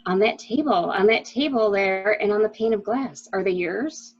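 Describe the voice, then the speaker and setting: A woman's voice: medium pitch, natural voice. Woman playing anxious, secretive wife, muffled sound. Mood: fearful. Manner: fretful, halting, dramatic. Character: anxious, secretive wife covering for her lover. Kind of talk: dramatic reading of a murder mystery.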